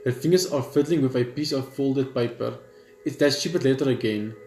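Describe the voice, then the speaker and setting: A man's voice: medium pitch, a little fast, darkish tone. Echoey male gamer reading aloud. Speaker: gaming video host. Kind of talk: let's play, reading game text aloud with asides. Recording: echoey room, game music behind.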